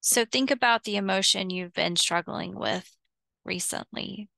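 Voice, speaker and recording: Female meditation guide, very slow and soothing, gentle fry in a smooth tone, close dry sound. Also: medium pitch, dry recording. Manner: soothing, unhurried, with long pauses between short phrases.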